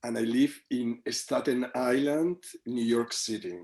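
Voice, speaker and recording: A man's voice: medium pitch, fairly even intonation, unhurried, darkish tone. A man from Spain, a guest on a video call from home who answers thoughtfully and searches for his words. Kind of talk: casual conversation.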